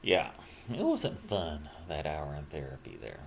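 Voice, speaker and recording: A middle-aged man talking casually and reflectively about himself, in halting, broken phrases with trailing pauses. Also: rumbling low, huge pitch swings, vocal fry, a little hiss or hum. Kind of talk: casual personal monologue, rambling reflection.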